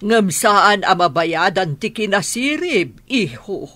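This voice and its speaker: A woman playing a stern, doubting old grandmother in a radio drama, with a husky, admonishing delivery. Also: mid-range voice, big pitch swings, slow, fairly bright tone, no room sound, exaggerated voice. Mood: doubtful, admonishing. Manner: admonishing, questioning.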